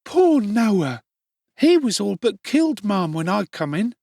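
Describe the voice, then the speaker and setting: A man voicing a violent, shrill young woman servant who screams and scolds. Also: very animated, a little slow, creaky, a little mellow, no echo, studio quality, heavily acted. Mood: shrill fuss.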